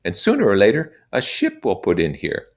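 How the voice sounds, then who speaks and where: A man's voice: fairly low pitch, wildly swooping pitch, fairly quick, pitched above the performer's own voice, heavily acted. A man voicing a confident, insistent boy leader who declaims to a group in a storybook reading. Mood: confident, rousing. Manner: emphatic, declaiming.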